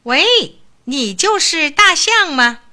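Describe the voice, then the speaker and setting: A woman voicing an arrogant, hot-tempered little male mouse who squeaks and shouts in a storybook. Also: sing-song, a little slow, full character voice. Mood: threatening.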